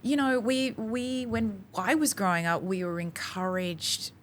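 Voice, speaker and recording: An Australian woman in her forties talking in a casual podcast conversation, animated and acting out her own quotes. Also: medium pitch, measured pace, a little hiss or hum. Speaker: podcast guest.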